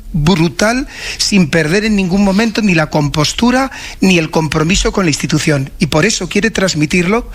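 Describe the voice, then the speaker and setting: A man's voice: high voice, fairly bright tone. A man with a Spanish accent giving a live interview as an association president, speaking formally, solemnly and emphatically, saddened and shaken. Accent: Spain. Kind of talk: live radio phone interview.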